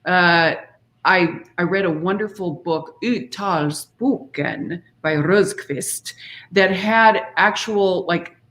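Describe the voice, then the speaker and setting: Slow-paced woman explaining as interview guest. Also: lowish voice, a bit bright. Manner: explanatory, demonstrates foreign words by example. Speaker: interview guest, singer and author. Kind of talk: conversational explanation of singing diction.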